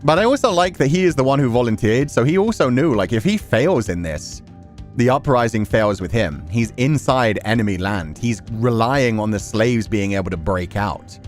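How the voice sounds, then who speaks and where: A man's voice: medium pitch, lively intonation, normal speed, soft background music. A man giving casual, candid game commentary, reacting as he goes and swearing freely. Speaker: streamer playing a visual novel. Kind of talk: casual game commentary with read-aloud text.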